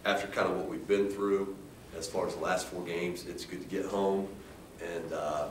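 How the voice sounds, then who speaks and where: American male coach, low register, measured and halting post-game remarks, echoey room with faint noise. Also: fairly even intonation. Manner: halting, measured, with repeated restarts. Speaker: head basketball coach. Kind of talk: post-game press conference answers. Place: indoor press room at a table. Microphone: distant camera. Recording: roomy, distant mic with faint rumbling noise.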